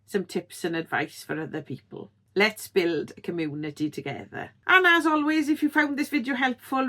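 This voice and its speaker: A middle-aged woman with a strong Welsh accent hosts her own channel and talks straight to the viewer. Her voice sits at an ordinary, mid pitch. She sounds encouraging and chatty, often checking in with a friendly "okay?". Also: bright, forceful tone. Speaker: YouTube channel host. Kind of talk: direct-to-camera tips video.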